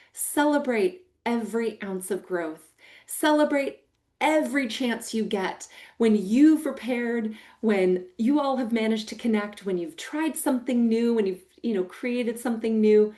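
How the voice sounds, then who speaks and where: A middle-aged American woman with a big, emphatic voice, giving a training talk to foster parents. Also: on the high side. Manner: expressive, emphatic, warm-hearted teaching with a big voice.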